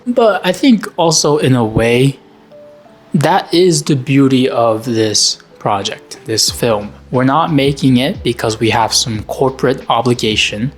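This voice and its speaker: A young man talks through what went right and wrong, in loose, casual vlog narration. His tone is candid and a little hard on himself, and his speech is full of easygoing fillers. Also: medium pitch. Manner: candid, self-critical, lots of fillers like "you know". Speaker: vlogger and amateur filmmaker. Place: home.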